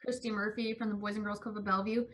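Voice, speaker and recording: A woman's voice: mid-range voice, little pitch movement, racing. A woman giving an informational update during a work conference call. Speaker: nonprofit representative. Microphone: remote conference call.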